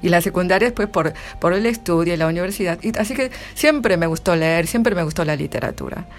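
Lower-voiced woman with an Argentine accent. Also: brisk, faint music behind. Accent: Argentine Spanish. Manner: chatty, many restarts, laughs often. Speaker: literary translator, guest. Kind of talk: casual interview answers.

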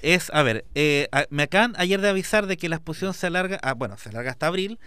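A man's voice: a little high, expressive, fast talker, pushed and bright, smooth, no echo. A man, an artist guest in an interview, talking passionately and rambling with many fillers. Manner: rambling, fills with "digamos", passionate. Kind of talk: conversational interview.

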